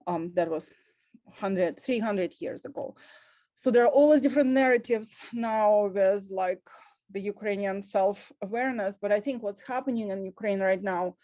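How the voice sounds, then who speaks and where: Woman, fifties, earnest and halting, mellow voice, dry sound. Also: mid-range voice, dry recording. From Russia. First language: Russian. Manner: earnest and halting, with many pauses. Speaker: panelist. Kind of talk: panel talk on a video call. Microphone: video call.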